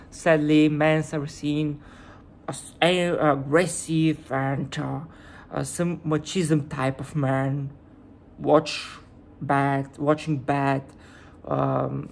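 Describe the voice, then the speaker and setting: A woman with a Bulgarian accent, a native Bulgarian speaker, talking hesitantly to camera in a casual vlog and often pausing to search for words. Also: deep voice, vocal fry, a little mellow, slight background noise. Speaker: YouTuber.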